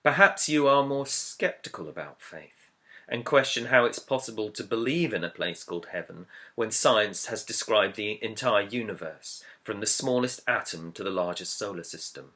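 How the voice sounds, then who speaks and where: A man, an author, reading his own book introduction as scripted narration in a measured, earnest, reflective way. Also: on the low side, lively intonation, bright, forceful tone. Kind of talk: scripted audiobook-style narration.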